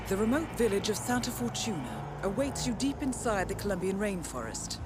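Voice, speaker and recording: An actress playing a composed female intelligence handler, giving a precise, measured mission briefing in a video game. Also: medium pitch, lightly acted. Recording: game audio over loud music.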